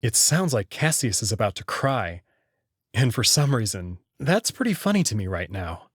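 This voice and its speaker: A man reading scripted first-person visual novel narration in an expressive, dramatic way. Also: on the low side, normal speed, slightly rough, dry recording, clean studio sound.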